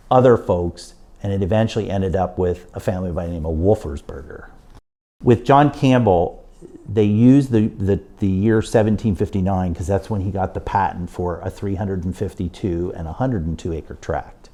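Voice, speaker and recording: A man's voice: low-pitched, warm tone, slightly rough. A man, a local historian, explaining the town's early history in an informal, explanatory way. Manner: informal, explanatory, occasional restarts. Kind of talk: interview explanation.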